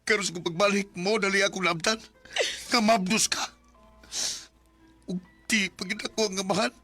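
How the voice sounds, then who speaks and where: Lovelorn suitor, Bisaya Filipino accent, sharp bright voice, emotive radio drama delivery, background music. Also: male voice, high-pitched, fairly even intonation, normal speed. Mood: hurt, reproachful. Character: earnest man courting and grieving in a love drama.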